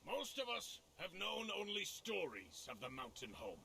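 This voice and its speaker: A man's voice: sits high, wildly swooping pitch, moderate pace, vocal fry, pushed and bright, a little hiss or hum, heavily acted. A man playing a solemn, proud dwarf lord in a fantasy video game, grave and declamatory, over faint ambience.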